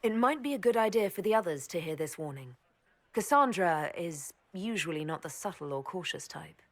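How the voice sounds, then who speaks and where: An actress playing a self-assured elven heroine in a video game, speaking in a dry, confident, conversational way. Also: female voice, fairly low pitch, expressive, normal speed. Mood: dry sarcasm. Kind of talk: dramatic video game acting.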